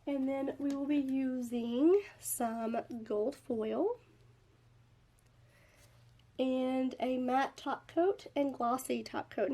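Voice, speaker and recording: A woman's voice: on the high side, darkish tone. A young woman with a nasal, stuffy voice from a head cold, chatting in a casual, upbeat way while she shows nail polish swatches. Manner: chatty and upbeat, lots of fillers. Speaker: nail art vlogger. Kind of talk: casual product review and tutorial.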